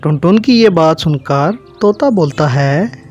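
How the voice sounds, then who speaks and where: A man's voice: fairly high pitch, lively intonation, a little slow, a little mellow, highs cut off, faint music behind. A man reading scripted narration for a children's cartoon story.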